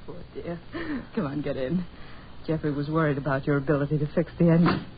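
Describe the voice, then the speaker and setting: A woman plays a lady in an old radio play, poised and gently chiding. The sound is muffled with a little hiss. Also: low voice, fairly even intonation, a little fast, close to an everyday voice. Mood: teasing. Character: poised Englishwoman, amused peacemaker between two rivals. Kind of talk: 1940s radio acting. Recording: muffled, noisy 1940s radio recording.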